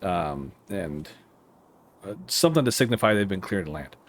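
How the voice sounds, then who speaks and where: A man with an American accent giving casual, explanatory commentary over a simulator game, thinking aloud in a halting, rambling way. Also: deep voice, sing-song. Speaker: air traffic controller streaming a simulator game.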